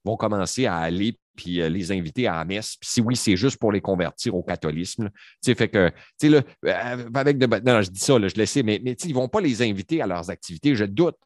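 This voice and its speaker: A man in his forties holds forth on a discussion panel. His voice sits low, and he rambles emphatically, circling back through fillers. The sound is close and dry, with no room around it. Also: lively intonation, fairly quick, darkish tone, dry recording. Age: 40s.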